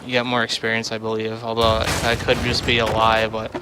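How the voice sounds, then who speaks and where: A man's voice: on the low side, completely flat delivery, brisk, noisy background. A man giving casual livestream commentary on a game, rambling and thinking aloud as he plays. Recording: music behind the voice. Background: game music.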